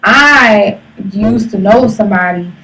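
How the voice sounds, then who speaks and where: A woman's voice: medium pitch, slow, crowd noise. A woman in her thirties hosting a live streamed talk show with blunt, brash, slangy and profane casual banter. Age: 30s. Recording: noisy, distant live stream mic.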